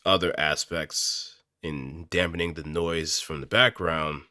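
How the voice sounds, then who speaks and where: A man's voice: a bass voice, fairly even intonation, slow, sharp tone, no echo. A man chatting casually to viewers on a close microphone, thinking aloud in a hesitant, rambling way. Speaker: aspiring amateur voice actor. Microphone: close studio microphone, dry.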